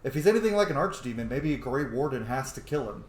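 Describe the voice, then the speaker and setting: A man's voice: medium pitch, lively intonation, quick pace. A man doing informal, sweary game commentary, dropping in and out of role-play. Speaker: gaming video host and role-player. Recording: roomy echo, faint game noise behind. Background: game ambience.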